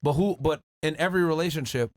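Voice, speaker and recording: Blunt fast-talking male host. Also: a little high, darkish tone, no echo. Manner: blunt, challenging, interrupts. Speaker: podcast host. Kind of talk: casual debate talk.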